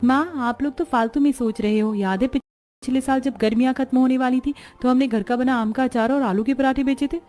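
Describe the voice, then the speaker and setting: A voice actress playing an enthusiastic young college-going daughter in an animated story. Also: female voice, high-pitched, brisk, soft background music, natural voice. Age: young adult. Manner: eager, enthusiastic.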